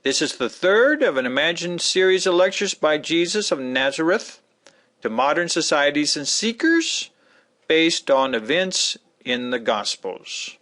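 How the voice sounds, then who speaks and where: A man narrating a scripted introduction to a dramatic book reading, with emphatic, deliberate phrasing. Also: fairly high pitch, big pitch swings, sharp tone.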